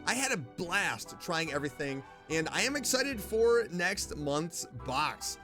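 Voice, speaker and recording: An upbeat male streamer reviewing sponsored snacks in a chatty, casual way. His voice is bright and forward and sits a little high for a man. Faint music plays underneath. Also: big pitch swings, a little slow. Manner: upbeat and chatty, reading ad copy in between.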